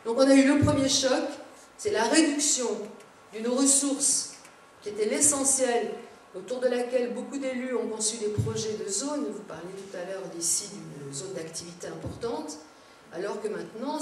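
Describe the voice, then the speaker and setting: A woman's voice: medium pitch, a little mellow. A woman giving a public lecture to elected officials, explaining things with informal asides. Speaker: conference speaker, local government adviser. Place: large echoing indoor seminar room. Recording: very echoey room, distant mic, background noise.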